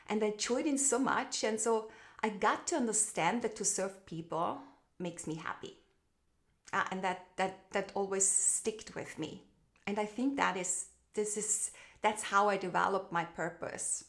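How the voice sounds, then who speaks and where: Austrian woman telling her story. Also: mid-range voice. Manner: warm, reflective, frequent fillers and restarts. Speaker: guest, branding expert. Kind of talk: casual conversational storytelling over video call.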